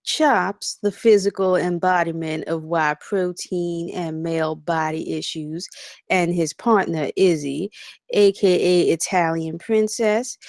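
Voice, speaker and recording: A woman plays a chatty female security officer in a comedy table read. The voice sits slightly low and sounds close and dry. She runs through introductions in a breezy, gossipy way. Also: darkish tone, no room sound.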